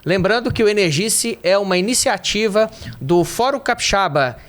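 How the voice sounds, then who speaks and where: Guy hosting a podcast, high-pitched, friendly, kinda halting sometimes. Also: animated, fairly bright tone. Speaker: podcast host. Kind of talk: podcast introduction and interview questions.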